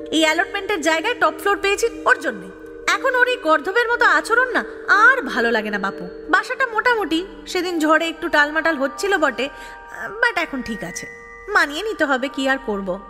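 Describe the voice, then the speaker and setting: Exasperated, very high-voiced young woman. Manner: animated, playfully complaining. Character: exasperated, chatty young woman narrating her love life. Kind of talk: dramatized audio story narration. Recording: long reverb, music behind.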